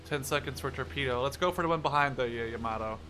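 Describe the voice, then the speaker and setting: A young man giving casual, profanity-laden gameplay commentary, excitable and often talking to himself as he plays. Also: medium pitch, fast, a little hiss or hum. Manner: excitable, swears freely, talks to himself. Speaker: gaming YouTuber. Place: small room at home.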